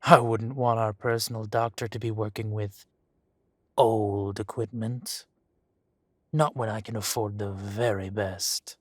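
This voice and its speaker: A man voicing a menacing adult male dragon gang boss in an audio roleplay drama. He speaks softly and condescendingly, with deliberate, slow threats and hushed whispers. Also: lowish voice, fairly even intonation, no room sound. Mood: silky menace. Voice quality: soft-spoken, silky and condescending, drops to whispers.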